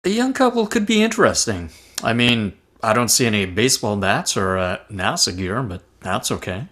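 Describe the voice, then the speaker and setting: Eager, kindly ghost, read by a man, lively swooping delivery, sitcom script. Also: lowish voice, moderate pace. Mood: hopeful. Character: cheerful, well-meaning, eager ghost.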